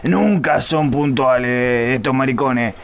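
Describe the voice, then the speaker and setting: An actor playing a crude, corrupt, lazy police inspector in a comic radio play, gruff and dismissive, with an Argentine accent. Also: male voice, medium pitch, takes it slowly. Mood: scornful. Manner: gruff, foul-mouthed, dismissive.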